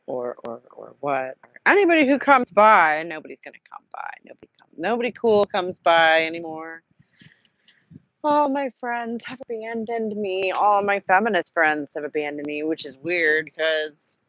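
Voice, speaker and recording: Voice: mid-range voice, a bit bright. Rambling host with a sore throat. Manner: rambling and self-deprecating, with halting fillers. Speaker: host of a live book-club chat. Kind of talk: casual livestream chat. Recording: dull lo-fi sound with a fan humming.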